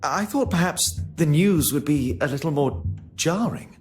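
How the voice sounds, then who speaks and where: A man playing an earnest, kindly, polite gentleman who assists a detective in a mystery game. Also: on the high side, expressive, unhurried, faint music behind. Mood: reassuring, polite. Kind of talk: scripted game dialogue.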